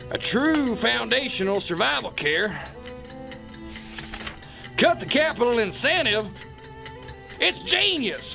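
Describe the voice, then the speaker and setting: A man's voice: extremely high pitch, vocal fry, sharp tone, exaggerated voice. A man playing a blustering, folksy boss in a satirical radio drama, talking emphatically. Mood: excited, decisive. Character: blustering, folksy male boss ruling a foundation. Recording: music playing under the voice.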